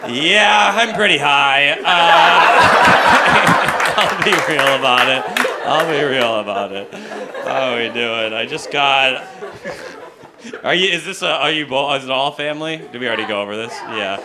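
Brash young comedian working a loud crowd. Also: male voice, mid-range voice, lively intonation, measured pace. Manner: brash, teasing, laughs at his own jokes. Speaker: stand-up comedian. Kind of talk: crowd work stand-up. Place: comedy club stage with a live audience. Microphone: handheld stage mic. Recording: loud crowd laughter and club noise. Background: audience laughing.

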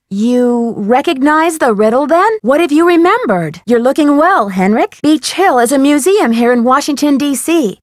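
A woman playing a friendly, earnest, inquisitive young female detective in an adventure video game, coaxing and questioning with clear diction. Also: high voice, no room sound, studio quality. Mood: patient, encouraging. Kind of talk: scripted adventure game dialogue.

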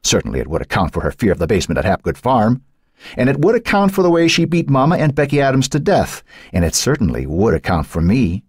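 Man doing a icy taunting woman's alter self, husky, raised voice, lively swings. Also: mid-range voice, fast, no echo, clean studio sound, voice pushed up. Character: cold, mocking woman, a hidden second self.

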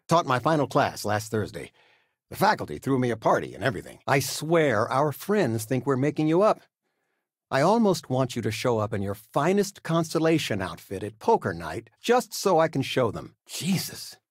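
Husky, affable older dad. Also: male voice, mid-range voice, sing-song, normal speed, dry recording, studio quality. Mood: warm, reassuring. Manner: fond, reassuring, gently joking. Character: affable, proud retired teacher dad, gently wry. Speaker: voice actor. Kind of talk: video game character dialogue.

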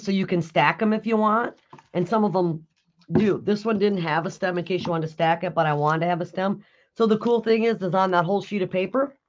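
A raspy, hoarse woman hosting a live craft tutorial, chatting with viewers and answering their comments while she works. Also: on the low side, highs cut off. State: hoarse after illness. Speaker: craft video host. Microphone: overhead camera on a craft table.

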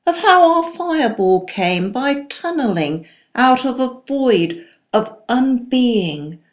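Slow, solemn woman reciting poetry. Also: fairly high pitch, a little mellow. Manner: solemn, deliberate, declamatory. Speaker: poetry reader.

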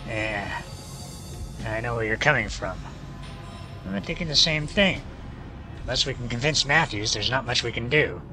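A man playing a gruff, sceptical spaceship crewman in a sci-fi audio drama. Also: mid-range voice, expressive, moderate pace, pauses often, over music, close to an everyday voice. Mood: doubtful.

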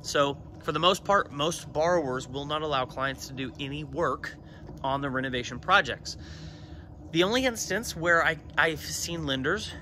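A man in his thirties talks straight to a phone camera at arm's length, plain-spoken and matter-of-fact as he walks viewers through a loan question. His voice sits fairly high for a man. He is outdoors beside a brick building, with street and passing-car noise clearly heard behind him. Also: normal speed, pushed and bright. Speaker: renovation loan consultant. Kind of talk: casual explainer to camera. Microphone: phone camera held at arm's length.

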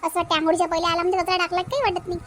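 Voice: very high-pitched, little pitch movement, very fast, a bit bright, a little hiss or hum. A performer voicing a chatty young married village housewife in a cartoon family comedy, with a childlike voice and a colloquial Vidarbha Marathi accent.

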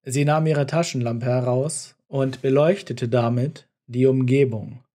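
Male narrator, medium pitch, scripted audiobook-style storytelling. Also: steady tone, unhurried, mellow.

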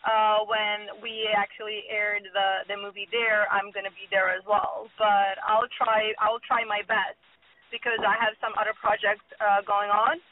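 Serbian-accented woman, slow and careful. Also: medium pitch, little pitch movement. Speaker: actress, guest. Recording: tinny phone line.

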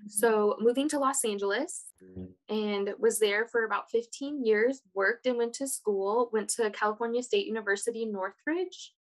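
A woman interpreter voicing a panelist's words in steady, plain first-person narration on a video call. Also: a little high, moderate pace, poor audio.

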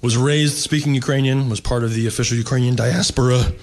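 A man's voice: medium pitch, steady tone, a little fast. A middle-aged man from the United States doing seated stand-up, telling a long comic story in a wry, rambling way with mock-snobbish asides. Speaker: stand-up comedian. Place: seated at a table, indoor stage.

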